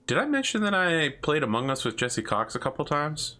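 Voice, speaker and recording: A man in casual chat as the game master, joking and quick to laugh. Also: on the high side, sing-song, bright, forceful tone.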